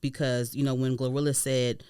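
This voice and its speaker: A woman hosting a podcast in a casual gossip chat, animated, slangy and profane, often repeating herself for emphasis. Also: very deep voice, moderate pace, smooth, dry recording, studio quality. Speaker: podcast host.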